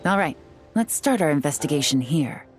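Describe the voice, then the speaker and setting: A shrewd female secret agent in a video game, with a low-pitched voice. She sounds composed, confident and coolly instructive over faint music. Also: expressive, normal speed. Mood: composed, businesslike.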